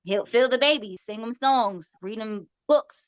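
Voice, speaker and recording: Woman, firm advice-giving co-host, higher voice, dull dry sound. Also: unhurried, clear, resonant tone, dry recording. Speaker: podcast co-host. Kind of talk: casual podcast chat. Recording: slightly dull, dry audio.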